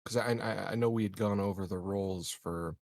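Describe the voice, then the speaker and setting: A man's voice: fairly low pitch, steady tone, a little fast, gravelly, a little mellow, no echo. A man chatting casually as a player in a tabletop game, hesitant and laid-back. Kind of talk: casual chat.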